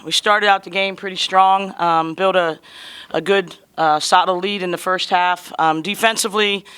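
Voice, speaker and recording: A woman coach speaking at a postgame press conference, with a bright, forward tone and a smooth, clear voice. She is slightly hoarse from a cold and talks in an earnest, conversational way. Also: fairly low pitch, steady tone, normal speed. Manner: earnest, conversational, proud of her players. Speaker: basketball head coach.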